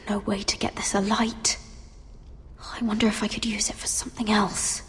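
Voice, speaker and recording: A woman's voice: mid-range voice, little pitch movement, normal speed, in a whisper, lightly acted. A woman voicing a young noblewoman alone in a grim dungeon, murmuring warily to herself. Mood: uneasy. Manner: hushed, wary murmuring to herself. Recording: echoey game dungeon reverb.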